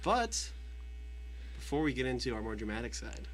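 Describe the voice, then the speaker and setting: A young man co-hosting a radio show with quick, jokey, casual banter. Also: mid-range voice, sing-song, a little hiss or hum, low-quality recording. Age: young adult. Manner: jokey, riffing. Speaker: student radio show co-host.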